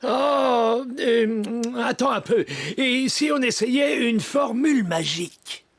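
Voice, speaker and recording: Cartoon casting: a slow-talking, stammering old gnome with a gravelly voice. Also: male voice, extremely high pitch, fairly bright tone, exaggerated voice. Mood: confident. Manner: stammering, fussy exclamations. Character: bumbling old male gnome, cheerful and flustered. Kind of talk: cartoon dub acting. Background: music.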